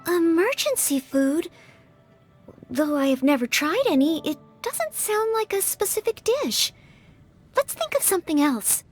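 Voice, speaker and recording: Casting: eager, courteous young maid-knight trainee, extremely high voice, lively intonation, game music behind. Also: female voice, unhurried, full character voice. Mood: thoughtful. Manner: courteous, careful, earnest. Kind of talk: video game character acting.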